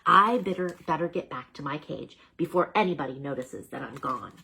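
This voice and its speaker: A woman's voice: low voice, expressive, fairly quick, gravelly, sharp tone, husky, heavily acted, low-quality recording. A woman voicing a small, anxious male superhero hamster from a children's storybook, fretful and melodramatic. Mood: relieved.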